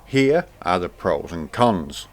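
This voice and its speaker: A man narrating a scripted video game review. He opens each phrase high and lets it drop at the end. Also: slow. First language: English. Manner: lively delivery, pitch rising at the start of each phrase and dropping at the end.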